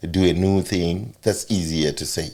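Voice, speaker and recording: A man's voice: deep voice, normal speed, dark tone. A man with a Zimbabwean accent and Shona as his first language, chatting informally as a talk show host. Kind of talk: casual interview chat.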